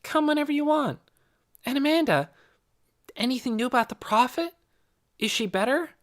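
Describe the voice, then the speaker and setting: An earnest, curious young girl as an audiobook narrator plays her, pitched well up high. The delivery is polite and eager, lilting through questions. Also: expressive, moderate pace. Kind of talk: audiobook character voice.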